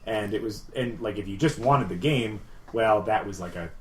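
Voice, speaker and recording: A man's voice: fairly low pitch, moderate pace. Man chatting casually, noisy room. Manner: rambling, filler-heavy, enthusiastic explaining. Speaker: podcast co-host. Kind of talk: casual podcast chat about games.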